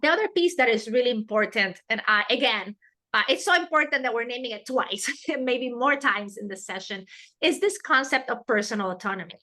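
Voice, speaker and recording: Bright-voiced woman with a Spanish accent. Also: on the high side, lively intonation, brisk. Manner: earnest, repeats key words for emphasis. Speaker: webinar presenter. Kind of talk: teaching a professional webinar. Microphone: video call.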